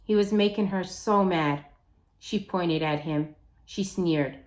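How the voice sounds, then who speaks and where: A woman reading a children's novel aloud as a teacher would, in an expressive, deliberate storytelling way. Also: fairly low pitch.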